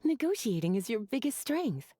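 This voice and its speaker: A woman's voice: on the high side, very animated, normal speed, slight background noise. A woman playing a languid, doting witch teacher in a video game, coaxing and playful. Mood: coaxing, amused. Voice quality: soft, breathy, mellow. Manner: coaxing, playful, drawling pet names.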